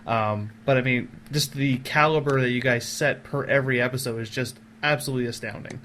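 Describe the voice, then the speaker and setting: A man's voice: medium pitch, steady tone, brisk, a bit bright, a little hiss or hum. A man hosting a casual podcast, talking in an effusive, wordy way as he interviews his guests.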